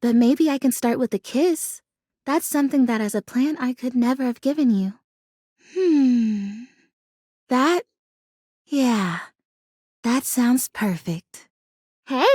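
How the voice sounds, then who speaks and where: A sweet little living plant from a video game. The voice is extremely high and swoops around with feeling, in a dry, close studio sound. The talk is folksy, earnest and full of wholesome exclamations, with a proud sense of self. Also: female voice, expressive, slow pace, long pauses, no echo, exaggerated voice. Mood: tender, grateful. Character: sweet, excitable, sentimental living houseplant, female, proudly self-assured. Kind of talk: animated video game character dialogue.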